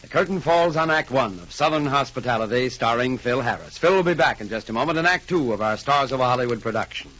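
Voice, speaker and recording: Bright, forceful male radio announcer. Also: mid-range voice, animated, quick pace, few pauses. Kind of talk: 1940s radio announcing, scripted narration. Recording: dull 1940s radio recording, faint music.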